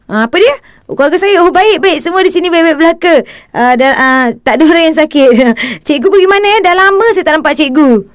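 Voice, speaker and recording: A woman's voice: extremely high pitch, expressive, a little fast, no room sound, studio quality. A woman voicing a chubby, cheeky, eager schoolboy in a cartoon, chatty and exclamatory. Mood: cheerful. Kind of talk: cartoon voice acting.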